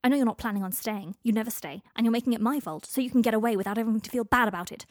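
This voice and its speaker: A stubborn young man, blunt and desperate, pushing his words out loud and strained, as a woman reader puts him on. His voice sits slightly above the reader's own, heard close in a dry recording. He pleads and accuses at once. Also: on the high side, very animated, quick pace, slightly rough, dry recording, pitched above the performer's own voice, full character voice. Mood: accusing.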